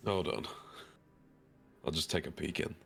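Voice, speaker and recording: A man with a gravelly voice chatting casually in character as a player in a tabletop roleplaying game. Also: extremely low pitch, steady tone, a little slow, a bit bright, soft background music.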